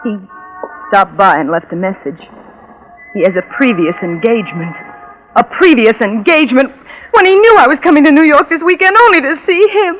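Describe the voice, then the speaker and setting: A woman's voice: a little high, animated, fairly quick, soft background music, natural voice. A woman playing an anxious, lovesick and possessive young woman in a 1940s radio drama, emotional and insistent, with hesitations. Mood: hurt, despairing. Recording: noisy vintage 1940s radio recording.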